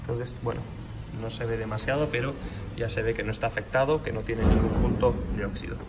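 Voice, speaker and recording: A man's voice: mid-range voice, fairly even intonation, brisk. A man walking around a motorcycle in an indoor workshop, explaining it to the camera filmed on a handheld phone. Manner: explanatory, shifts to raised voice over a running engine. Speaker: motorcycle workshop owner showing a bike for sale. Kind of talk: hands-on walkaround video. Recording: noisy room with engine sound.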